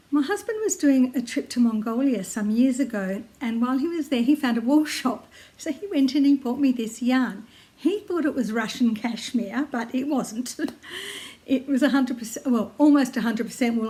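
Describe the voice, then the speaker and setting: Croaky older Australian woman, chatty. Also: sits high, dark tone. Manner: chatty, friendly, with frequent ums and little laughs. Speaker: knitting vlogger. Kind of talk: casual chat to camera. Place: at home in her lounge room, seated. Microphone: tablet camera close by.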